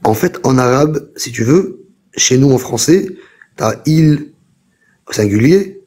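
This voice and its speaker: Insistent man with a dark husky voice. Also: mid-range voice, expressive, slow. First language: French. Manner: insistent, repeats himself to hold the floor. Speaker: host. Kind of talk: heated live debate. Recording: TikTok live call audio.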